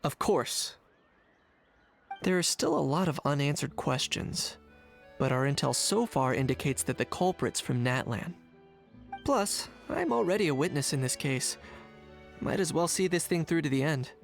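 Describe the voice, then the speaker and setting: A man's voice: fairly high pitch, lively intonation, normal speed, creaky. A man voicing a young, pragmatic investigator in a video game, dry and matter-of-fact. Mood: calm, determined. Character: cool, pragmatic young male investigator, dryly understated. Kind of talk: video game dialogue acting. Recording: game music behind the voice. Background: background music.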